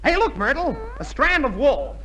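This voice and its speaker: High-pitched, excitable bumbling soldier Johnny. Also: male voice, normal speed, full character voice. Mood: bossy, eager. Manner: exclamatory, blustering. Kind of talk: 1950s radio comedy sketch acting. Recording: noisy 1950s live radio, audience.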